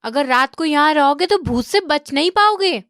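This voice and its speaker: A woman playing an anxious young village wife in an animated comedy story. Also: high-pitched, moderate pace, no echo, studio quality. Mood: concerned.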